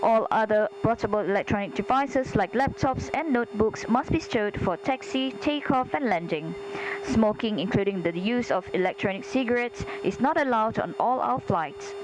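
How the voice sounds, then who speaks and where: A woman flight attendant reading scripted airline safety announcements in a formal, measured, instructional way. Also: a little high. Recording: clear engine hum behind cabin announcement. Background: steady aircraft hum.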